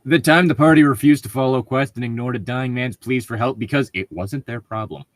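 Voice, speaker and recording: A man's voice: medium pitch, brisk, dry recording, clean studio sound. A man reading a tabletop gaming story aloud as a co-host, informal and amused.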